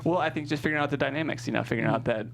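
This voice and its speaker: A man's voice: medium pitch, very fast, vocal fry, slight background noise. A man chatting casually as a guest writer on an interview show. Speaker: guest screenwriter. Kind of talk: casual chat.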